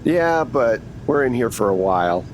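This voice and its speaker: Man as matter-of-fact older female shoplifter, casual and rambling, tabletop roleplay, faint rumbly noise. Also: animated, normal speed, natural voice, rough recording. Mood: chatty. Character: chatty older woman shoplifter in jail, late sixties.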